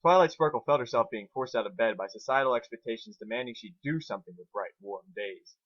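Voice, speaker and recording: A man's voice: medium pitch, fast, dry recording, dull audio. A man co-hosting an informal dramatic reading, talking and narrating in an amateur, casual way. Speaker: reader and co-host.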